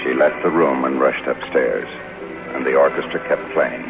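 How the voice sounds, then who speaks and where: A vintage radio broadcast, a little dull, with music underneath. a cynical, restless screenwriter in a very low voice. He is blunt and hard-boiled. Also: steady tone, normal speed, creaky. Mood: wry, matter-of-fact. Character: cynical, struggling young male Hollywood writer, blunt and restless. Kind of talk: 1950s radio drama acting and narration.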